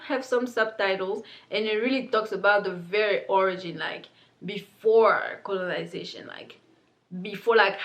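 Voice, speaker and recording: Chatty woman talking to camera. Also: mid-range voice. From Congo. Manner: chatty and warm, many fillers like "like" and "um". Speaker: beauty brand owner presenting her palette. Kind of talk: casual explanatory talk to camera. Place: indoor studio with plain pink backdrop.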